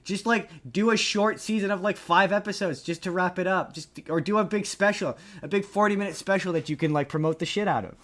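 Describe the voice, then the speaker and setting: A man's voice: high-pitched, fairly quick, few pauses, a bit bright. A man hosting a podcast with casual pop-culture news chat, speaking in a rambling, opinionated way that often doubles back on itself. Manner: rambling, opinionated, often restarts and repeats himself. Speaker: podcast host.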